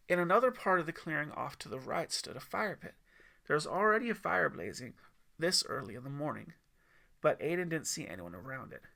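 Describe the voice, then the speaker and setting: A man's voice: a little high, vocal fry, sharp tone. A man reading scripted story narration as the narrator of his own audiobook.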